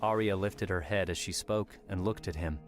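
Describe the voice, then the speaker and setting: A man's voice: sits low, normal speed. A man reading scripted story narration as an audiobook narrator. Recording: music under the voice.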